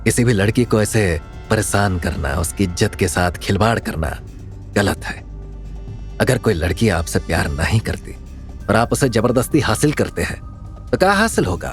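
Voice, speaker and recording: Audio drama role: humble young tea seller, played by a male narrator, quiet, low, polite but firm, over faint music. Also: lively intonation, natural voice. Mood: earnest, firm. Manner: quiet, measured, earnest. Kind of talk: Hindi audio drama character acting.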